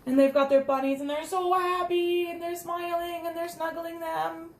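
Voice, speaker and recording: A woman reading a picture book aloud to young children, expressive, with chatty asides and simple questions to her listeners. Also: extremely high pitch, moderate pace. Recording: roomy echo, some background noise.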